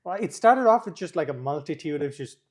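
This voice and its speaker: A man's voice: on the high side, expressive, brisk. A young man from Sri Lanka, a guest talking casually in an interview, with many fillers.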